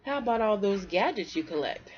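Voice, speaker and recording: A woman voicing a practical, brisk squirrel mother in a read-aloud storybook, speaking emphatically to her child. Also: medium pitch, lively intonation, dull audio, pitched above the performer's own voice, close to an everyday voice. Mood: practical, coaxing.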